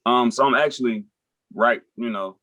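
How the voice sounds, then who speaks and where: A young man from Nashville, a rapper, talking in a relaxed, rambling way full of fillers as a podcast guest. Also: medium pitch, moderate pace, no echo. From Nashville, Tennessee. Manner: laid-back, rambling, frequent fillers like "you know" and "um". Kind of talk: casual interview chat.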